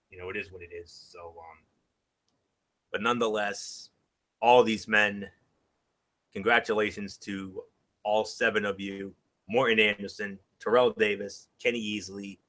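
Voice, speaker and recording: Low-voiced man hosting sports talk. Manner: conversational, drops off softly at phrase ends. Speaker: host.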